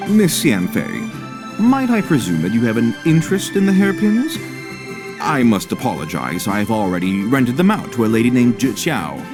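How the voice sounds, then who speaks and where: A man voicing a polite, fussy merchant in an anime game, with formal, deliberate phrasing. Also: mid-range voice, huge pitch swings, music in the background. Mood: courteous, hesitant. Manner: formal, courteous, deliberate, theatrically inflected. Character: polite, fussy, self-assured male merchant.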